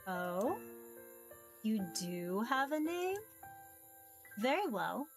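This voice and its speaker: A woman's voice: on the high side, very animated, soft background music, exaggerated voice. Woman voicing a mysterious, formal ancient being. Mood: curious, musing. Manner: formal, measured, archaic phrasing. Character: mysterious ancient narrator being, formal, amused, curious about humans. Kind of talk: visual novel character read-aloud.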